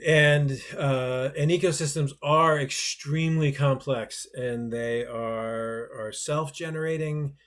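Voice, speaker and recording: A man's voice: mid-range voice, steady tone, takes it slowly, few pauses, fairly bright tone. A man hosting an online workshop in a loose, conversational, self-deprecating way. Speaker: workshop host. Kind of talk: webinar presentation.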